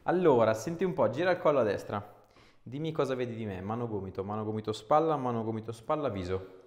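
A man's voice: mid-range voice, lively intonation, fast talker, a little mellow. A man in his thirties working as a chiropractor, giving short, informal instructions and chatting casually during a treatment. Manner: short, informal directive phrases. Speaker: chiropractor and osteopath. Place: small indoor clinic room. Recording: echoey small treatment room.

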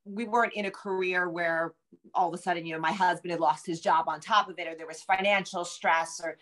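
A woman's voice: on the low side, fairly even intonation, brisk, a bit bright. A woman in her forties giving conversational interview answers as a guest author on a video call. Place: home room with bookshelves, indoors.

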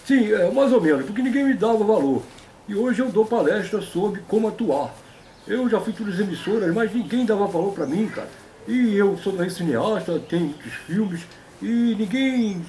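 A man's voice: sits high, lively intonation, moderate pace, a little mellow, slight background noise, voice pushed up. A man voicing a boastful, pompous old grandpa puppet in a comic interview. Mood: boastful, grumbling. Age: older. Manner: pompous, folksy, repeats a stock affirmation.

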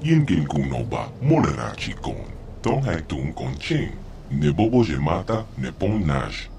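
An actor playing a stubborn alien guide in a video game, speaking an invented tongue in an emphatic singsong. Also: male voice, very deep voice, sing-song, a little slow, gravelly, background noise, full character voice. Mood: insistent.

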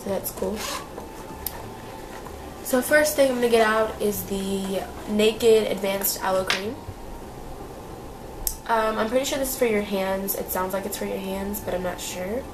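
A young woman talks through beauty products in a loose, chatty way, full of fillers and repeats. Her voice sits at a middle pitch and sounds a little nasal and stuffy from a cold. A clear layer of background noise runs under the recording. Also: steady tone, unhurried, pauses often. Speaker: beauty vlogger. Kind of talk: casual product review.

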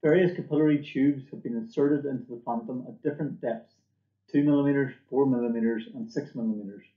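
A man delivers a scripted corporate presentation with a measured, formal delivery. His pitch sits in the middle range for a male voice. The sound is slightly dull and noticeably roomy, as if captured by a computer microphone in a small office. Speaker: company presenter. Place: small indoor office or lab room.

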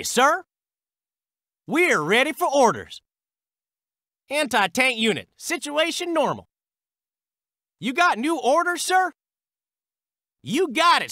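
A man's voice: very high-pitched, bright, forceful tone, no echo, studio quality, exaggerated voice. An actor, a man, voicing a US Army artillery crewman in a war video game, with an American accent and clipped military phrases. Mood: brisk, ready. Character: high-strung male US Army artillery crewman. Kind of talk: military game unit barks.

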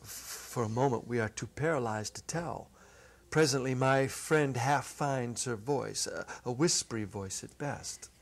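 A middle-aged man reads a story dramatically. His pitch is medium, his pace is slow and deliberate, and he stretches words for theatrical effect. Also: vocal fry. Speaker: story reader. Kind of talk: dramatic literary reading. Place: indoor set with holiday decor. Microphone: clip-on lapel mic.

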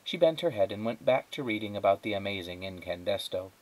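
A man's voice: lowish voice, lively intonation, a little fast, darkish tone, rough recording. A man narrating a children's storybook aloud, as a teacher does. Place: indoors at a wooden table.

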